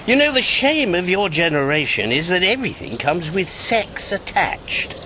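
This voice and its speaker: Jovial old rogue, very lively intonation. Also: male voice, high voice, normal speed, full character voice. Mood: flirtatious, wry. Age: 70s. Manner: chuckling, roguish, theatrical. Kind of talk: radio drama acting. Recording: radio drama with clear background noise.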